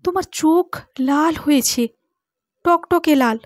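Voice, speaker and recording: Anxious village wife, high and pleading , read by a woman narrator, close dry sound. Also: takes it slowly, dry recording. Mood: worried. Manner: high, urgent, fearful pleading.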